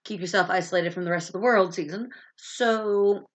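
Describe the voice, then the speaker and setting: A woman's voice: medium pitch, normal speed. Stuffy-nosed woman, dull recording. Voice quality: stuffy, congested. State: sinus congestion from allergies. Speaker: reader of an audiobook chapter. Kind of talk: read-aloud storytelling with chatty intro. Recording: dull, lo-fi sound.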